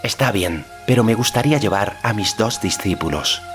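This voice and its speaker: A man's voice: lowish voice, lively intonation, normal speed, faint music behind, pitched above the performer's own voice, close to an everyday voice. A man voicing a curious, self-assured young boy hero in an audiobook, speaking directly and full of questions. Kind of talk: audiobook character acting.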